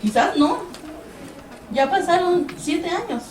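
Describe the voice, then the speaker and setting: A woman's voice: extremely high pitch, animated, takes it slowly, a little hiss or hum. A young woman from Mexico giving an earnest personal account on a panel, acting out quoted exchanges as she tells her story. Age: young adult. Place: event hall. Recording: echoey hall, distant mic.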